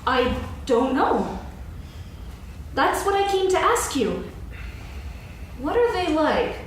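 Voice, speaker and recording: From far off in a very echoey hall comes a bossy, bustling rabbit acted by a woman in a children's stage reading. The voice is high and lively, brisk and full of self-importance. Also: exaggerated voice. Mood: impatient. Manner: brisk, officious, emphatic. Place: on stage in a hall, recorded from afar. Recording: echoey hall, distant, faint noise.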